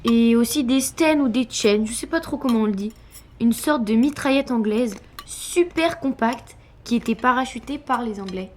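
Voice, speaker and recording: A woman's voice: on the high side, normal speed, darkish tone, slight background noise, lightly acted. A woman playing a curious, chatty storyteller in a conversational audio drama. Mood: chatty curiosity.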